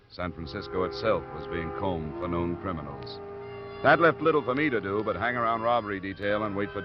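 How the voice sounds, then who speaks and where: A man's voice: sits low, lightly acted. An actor playing a cool, wry insurance investigator who narrates his case in clipped, matter-of-fact 1950s radio detective style. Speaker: lead actor and first-person narrator. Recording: muffled old radio recording with music.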